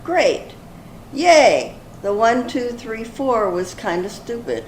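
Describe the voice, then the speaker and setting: An older woman playing an earnest, excitable character in an amateur readers theater comedy, reading in a deliberate, expressive way. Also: a little high. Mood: inquisitive. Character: earnest older woman, apologetic, increasingly exasperated in comic sketches. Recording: echoey room, faint background noise.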